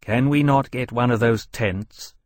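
A man's voice: medium pitch, a little slow, dry recording, close to an everyday voice. A man voicing a solicitous, sensible dragon companion in an audiobook, speaking earnestly and attentively. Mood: concerned.

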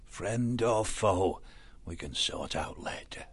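Big blunt badger, voiced by a male narrator, husky, with a swinging pitch. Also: animated, unhurried, a bit bright. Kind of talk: audiobook character voice.

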